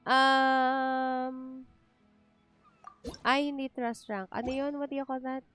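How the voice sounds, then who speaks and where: A woman's voice: high voice, little pitch movement, slow, fairly bright tone, clear, resonant tone, faint music behind. A woman with a Filipino accent giving casual, chatty live commentary as she streams a game, thinking aloud. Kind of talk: casual live gameplay commentary in mixed Tagalog and English.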